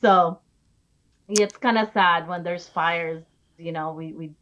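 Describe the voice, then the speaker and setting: A woman from the Philippines talking as a podcast guest, rambling warmly with many fillers. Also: fairly low pitch, fairly even intonation, slow pace, muffled recording, slight background noise. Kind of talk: casual interview.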